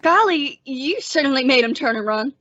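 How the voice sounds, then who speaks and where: Woman as high, bright, timid western townsman. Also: lively intonation, normal speed, dull audio, a little hiss or hum. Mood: amazed. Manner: earnest, anxious. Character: Everett Barnes, timid, frightened male western townsman. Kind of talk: old-time radio western acting.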